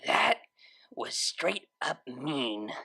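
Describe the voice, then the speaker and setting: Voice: medium pitch, very animated, drawn-out words, creaky, sharp tone, heavily acted. A narrator voicing a laid-back, teasing young female unicorn DJ in a story, with casual, slangy phrasing. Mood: mock offended.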